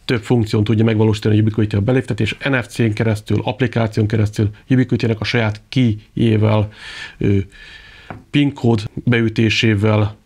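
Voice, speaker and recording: A man with a moderately low voice gives an unscripted product presentation. He speaks haltingly, pausing to search for the right words as he explains. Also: flat delivery, a little mellow, breathy edge.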